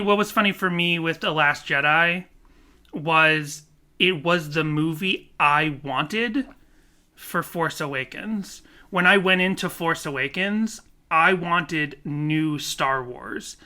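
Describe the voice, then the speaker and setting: A man co-hosting a podcast in casual chat, animated and laughing often, with rambling restarts. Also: on the high side. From Korea. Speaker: podcast co-host.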